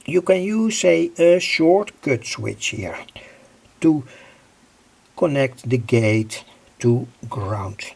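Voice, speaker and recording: Guy explaining electronics stuff, halting, really slow, creaky voice, keeps using filler words. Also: medium pitch, darkish tone. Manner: halting, repeats filler phrases like "say". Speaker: presenter of electronics project videos. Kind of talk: informal technical explanation. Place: at home, indoors.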